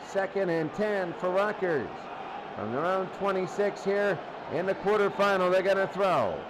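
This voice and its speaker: High-voiced male football play-by-play announcer, noisy recording. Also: animated, normal speed. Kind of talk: live American football play-by-play commentary.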